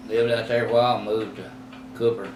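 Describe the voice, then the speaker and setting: A man in his eighties, slowly recalling his past in an interview, rambling and halting with many fillers and self-corrections. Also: lowish voice, flat delivery. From Texas. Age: 80s. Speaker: oral history interviewee. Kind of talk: reminiscing in a recorded interview. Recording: roomy, distant mic with clear background noise.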